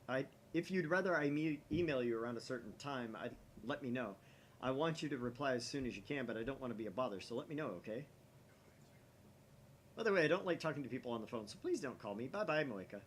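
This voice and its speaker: A man's voice: mid-range voice, a little hiss or hum, lightly acted. A man voicing a chatty, eager and slightly awkward young woman's messages in a visual novel, in a breezy, rambling way.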